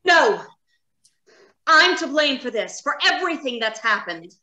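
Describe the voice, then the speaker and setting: A woman's voice: high-pitched, very animated, unhurried, sharp tone, full character voice. A woman acting a defiant, guilt-ridden scientist in an old radio drama, emphatic and declamatory. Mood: confessing.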